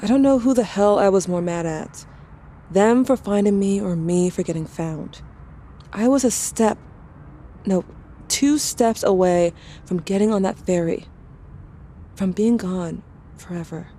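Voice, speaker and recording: Mellow, sardonic teenage girl, played by a woman. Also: medium pitch, a little slow, a little hiss or hum, lightly acted. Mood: bitter, frustrated. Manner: sardonic, confessional, bluntly informal. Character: angry, grieving teenage girl writing to her dead sibling. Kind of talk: audio drama diary-letter narration.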